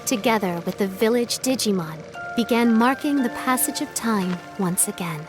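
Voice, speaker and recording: An actress playing a solemn storybook narrator in a video game, delivering scripted narration. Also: female voice, on the high side, moderate pace, over music, lightly acted.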